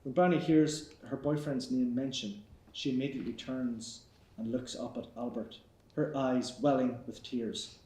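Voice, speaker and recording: A man reading stage directions aloud at a live table read, even and matter-of-fact, in an echoey theatre. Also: medium pitch, normal speed. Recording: echoey hall.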